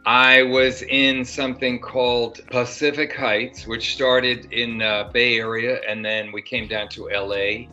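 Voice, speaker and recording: A man's voice: mid-range voice, little pitch movement, unhurried, hardly any pauses, pushed and bright. An older man, an actor guest, talking in a casual interview, rambling with many fillers and restarts. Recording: dull lo-fi sound with faint music.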